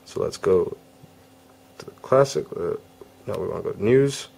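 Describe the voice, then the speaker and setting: A man giving a casual, unscripted product review, halting and rephrasing as he goes. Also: deep voice, expressive, creaky, a little mellow, breathy edge.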